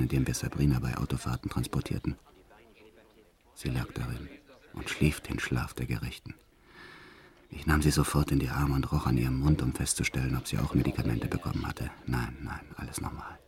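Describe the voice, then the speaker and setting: Radio play lead: brutal career criminal confessing matter-of-factly, extremely low voice. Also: male voice, creaky, a little mellow. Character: violent male career criminal confessing his life, brutal yet tender. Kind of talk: first-person radio play narration.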